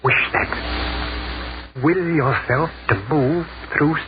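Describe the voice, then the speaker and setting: An actor playing an intense, awestruck army captain in 1940s radio drama style, speaking in halting, insistent bursts. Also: male voice, on the high side, over music. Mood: awestruck, urgent. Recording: muffled, very noisy 1940s radio recording.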